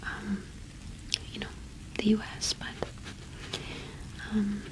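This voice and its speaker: A young woman whispering gently and unhurriedly in a casual ASMR roleplay, close to the microphone. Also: medium pitch, monotone, takes it slowly, poor audio. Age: young adult. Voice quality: breathy whisper. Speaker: ASMR roleplay performer playing a shopkeeper witch.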